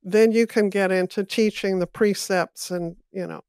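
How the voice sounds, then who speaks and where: A woman's voice: mid-range voice, fairly even intonation, darkish tone, dry recording, clean studio sound. A woman hosting a podcast, speaking thoughtfully and affirmingly as she reflects on a text. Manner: thoughtful, affirming, slow and measured. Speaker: podcast host. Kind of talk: podcast interview conversation.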